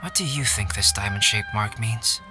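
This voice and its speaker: An actor playing a reserved, thoughtful young alchemist in an anime game, speaking in a measured, polite way. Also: male voice, fairly low pitch, lively intonation, close to an everyday voice. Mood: reflective. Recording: music behind the voice.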